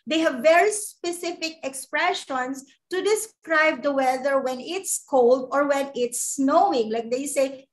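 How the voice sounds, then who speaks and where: High-voiced Filipino woman lecturing. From Philippines. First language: Ilocano. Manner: animated, checks in with "okay?". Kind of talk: guest lecture.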